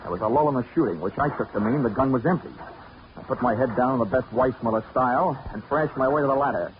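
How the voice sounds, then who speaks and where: An actor playing a wisecracking, hard-boiled investigator in a 1940s radio drama, speaking in a dry, clipped, wry way. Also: male voice, medium pitch, fast, background noise, natural voice. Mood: wry, tense. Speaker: lead actor and narrator. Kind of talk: 1940s radio detective acting, first-person narration. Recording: dull old radio recording, loud music.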